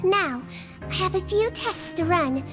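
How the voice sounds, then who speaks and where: Very high, sweet little nurse girl. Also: measured pace. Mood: reassuring, professional. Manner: calm, reassuring, precise. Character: gentle, caring young girl nurse, small nonhuman. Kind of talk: anime video game voice acting. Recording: background music.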